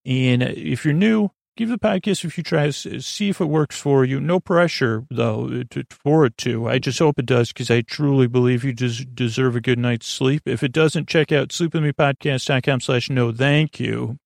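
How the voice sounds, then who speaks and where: A man hosting a bedtime podcast in a meandering, halting monologue, trailing off and restarting his thoughts, with a gravelly, creaky voice. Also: mid-range voice, no echo, studio quality. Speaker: podcast host. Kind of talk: rambling bedtime monologue to help listeners sleep.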